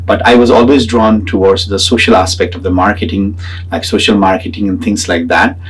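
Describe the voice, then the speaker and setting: Nepali guy w a low slightly raspy voice, grad student explaining his research, kinda halting. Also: steady tone, rough recording. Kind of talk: semi-prepared explanatory talk about own research.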